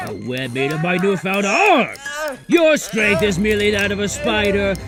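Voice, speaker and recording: A comic-book mad scientist drunk on power. His high, gravelly voice swings dramatically between menace and shouted boasts. Prominent music plays behind him. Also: heavily acted. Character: megalomaniac mad-scientist supervillain with mechanical arms. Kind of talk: amateur comic dub acting.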